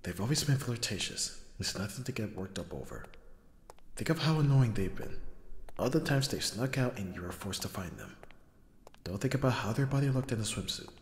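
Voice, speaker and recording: A man playing an abrasive young bodyguard who is secretly soft, in an ASMR roleplay audio drama, with gruff, protective exasperation. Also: lowish voice, expressive, fairly quick, a little hiss or hum. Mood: flustered self-talk.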